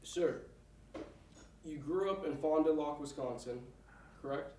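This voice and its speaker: A man asks short, polite questions at a quick clip, his voice set fairly high. He sounds distant from the microphone, with a roomy echo around him. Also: mellow. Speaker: interviewer. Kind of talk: interview questions. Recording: roomy, far from the mic.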